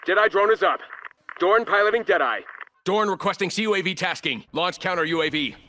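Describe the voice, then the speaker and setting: Video game operator: ruthless corporate soldier, high, sharp voice, clipped orders, cold contempt. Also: male voice, animated, a little fast, slight background noise. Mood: clipped, commanding. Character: ruthless, arrogant male military operator and cold corporate commander. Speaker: playable operator in a military shooter game. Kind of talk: video game combat barks and callouts.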